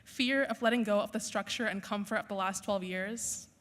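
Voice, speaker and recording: A young woman whose first language is Urdu, delivering an earnest, measured graduation speech from a school stage. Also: medium pitch, steady tone, a bit bright. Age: young adult. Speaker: valedictorian.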